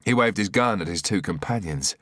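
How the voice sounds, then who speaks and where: Deep male thriller audiobook narrator. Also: animated, a little fast, fairly bright tone, no echo, clean studio sound. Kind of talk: scripted dramatic thriller narration.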